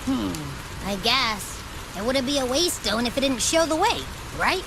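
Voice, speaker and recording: A woman's voice: sits high, lively intonation, measured pace, background noise. A woman voicing a small, cute female creature companion in a video game, cheeky and eager, with a playful, childlike manner. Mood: grumbling, puzzled.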